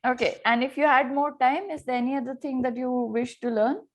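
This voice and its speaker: A woman's voice: high voice, fairly even intonation, fairly quick. A woman tutoring English over a video call. She asks questions and keeps saying "okay, very well" to encourage the student. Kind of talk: conversation practice session.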